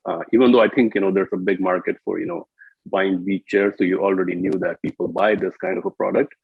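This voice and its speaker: A man's voice: low voice, steady tone, fast talker, vocal fry, husky, dry recording. A man hosting a conversational interview, asking hesitant, roundabout questions over a muffled, narrow-band line. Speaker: interview host.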